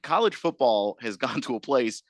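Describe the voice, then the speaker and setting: A man's voice: medium pitch, animated, normal speed, dry recording. A man co-hosting live sports radio, casually running through player news. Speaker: radio co-host.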